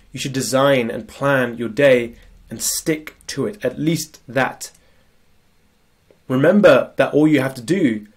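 A man's voice: medium pitch, slightly rough. A man with a cold, giving a casual, conversational productivity lecture while sharing slides, often checking in with "right?". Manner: conversational, frequent tag questions. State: sniffly with a cold. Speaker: productivity coach. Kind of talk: screen-share lecture.

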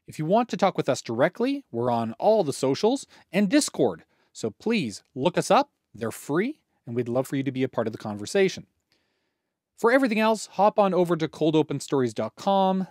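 A man's voice: on the high side, big pitch swings, moderate pace, a little mellow, dry recording, clean studio sound. A man hosting with a scripted announcement, speaking conversationally and directly to his listeners. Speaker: producer and host.

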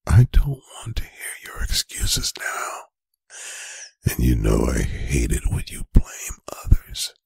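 A man playing a stern, angry, domineering boyfriend in a whispered ASMR roleplay, breathy and gravelly, with slow, deliberate, menacing phrasing. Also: rumbling low, wildly swooping pitch, darkish tone, no echo, full character voice. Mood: stern, commanding. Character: stern, angry, domineering boyfriend scolding his adult partner. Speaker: ASMR voice performer. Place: bedroom, close and dry.

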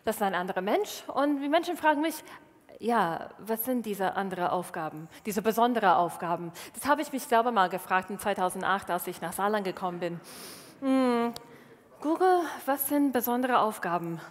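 A woman in her thirties from Wisconsin, a native English speaker with an American accent, giving a lively, self-mocking stage talk in a large hall. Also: mid-range voice, moderate pace. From Wisconsin, USA. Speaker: science slam speaker. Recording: reverberant hall.

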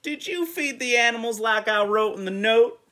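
A man's voice: very high-pitched, expressive, normal speed, bright, forceful tone, voice pushed up, heavily acted. Man putting on a bossy, exasperated farmer. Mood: questioning. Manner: emphatic, demanding. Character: bossy farmer phoning home, suspicious and exasperated.

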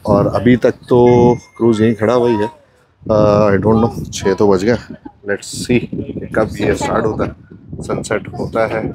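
Young male travel vlogger, relaxed chatty delivery, phone-recorded outdoors on a boat. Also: lowish voice, takes it slowly, slight background noise, poor audio. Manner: chatty, mixes English phrases, repeats "जो है ना". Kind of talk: casual walkthrough vlog. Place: outdoors on a cruise boat deck.

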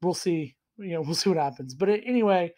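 A man in his thirties with a cold, hoarse and rambling as he tastes and reviews whiskey in a casual home vlog. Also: sits high, animated, fast, dark tone. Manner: rambling, self-deprecating, enthusiastic. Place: small room indoors at home.

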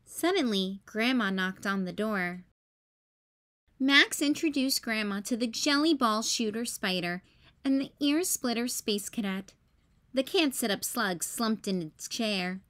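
A woman hosting story time, reading a children's picture book in a careful, expressive way for young listeners. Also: a little high, a little slow. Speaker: storytime host.

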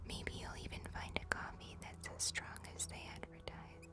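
A young woman playing a caring futuristic bartender in a soft, breathy ASMR whisper, slow, soothing and intimate. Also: close to an everyday voice. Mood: soothing, tender. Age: young adult. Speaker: ASMR performer.

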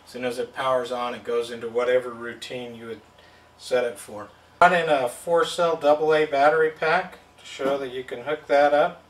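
A man's voice: mid-range voice, steady tone, normal speed, distant mic. A man giving a plain, step-by-step product demonstration at a table indoors. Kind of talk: hands-on product demo. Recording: roomy sound from off-mic.

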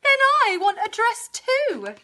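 Storybook cow: high, bright, whiny and envious, bawling with mooing drama. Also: female voice, wildly swooping pitch, heavily acted. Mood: wailing, whiny. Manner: bawling, mooing, drawn-out wails. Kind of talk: children's storybook character voice.

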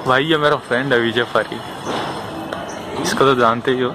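A man's voice: mid-range voice, moderate pace. A young man, the vlogger's friend, talks casually and in a friendly way to the camera. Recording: outdoor clip with clear street noise.

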